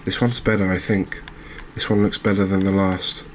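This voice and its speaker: Deep voiced guy doing chill asmr game commentary, barely varies his tone, bit of background noise. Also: flat delivery, normal speed. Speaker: gaming video host.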